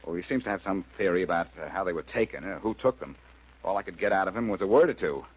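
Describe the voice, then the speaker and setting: An actor playing a cool, quick-witted reformed crook turned sleuth in a 1940s radio drama, wry and glib. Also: male voice, deep voice, animated, breathy edge. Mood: urgent. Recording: muffled, hissy old radio recording.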